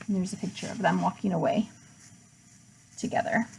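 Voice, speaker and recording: A woman's voice: lowish voice, fairly even intonation, moderate pace, vocal fry, a little mellow, a little hiss or hum, poor audio. A woman narrating a children's storybook in a read-aloud style, as a teacher would.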